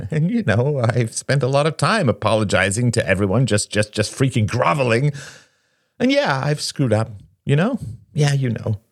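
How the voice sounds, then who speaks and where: A man voicing a bitter, ashamed recovering addict, a self-pitying younger brother who talks haltingly in an audiobook story. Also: low voice, expressive, darkish tone, no room sound, studio quality, exaggerated voice. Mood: bitter, wounded. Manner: mumbling, halting, stammering, then bursting out.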